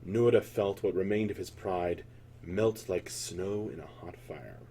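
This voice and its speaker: A man's voice: deep voice, unhurried, warm tone, slightly rough. A man narrating a scripted fantasy tale as a storyteller, in a solemn, theatrical manner.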